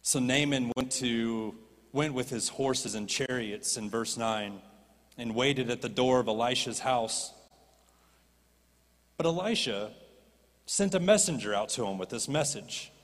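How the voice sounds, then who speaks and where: A man preaching a sermon as a pastor, animated and rising to impassioned pleading. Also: medium pitch, unhurried, long pauses. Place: church stage. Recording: long reverb of a church hall.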